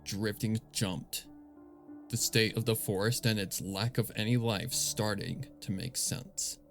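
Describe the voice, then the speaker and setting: A man narrating a dark story in a somber, dramatic reading style, with music playing underneath. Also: lowish voice, a little slow, vocal fry. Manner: somber, grave. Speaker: narrator, fan fiction reader.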